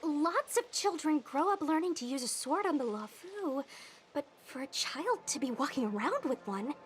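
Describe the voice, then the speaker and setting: A woman's voice: high-pitched, animated, normal speed, a little hiss or hum. A woman playing an earnest, youthful girl warrior in a video game. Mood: alert, concerned. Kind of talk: animated video game dialogue.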